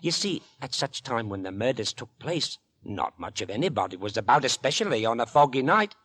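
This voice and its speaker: An actor playing a chatty, earnest young working-class London policeman in a 1940s radio drama, with a folksy, deliberate way of telling things. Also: medium pitch, big pitch swings, a bit bright, husky, no echo. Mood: confiding. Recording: vintage 1940s radio recording.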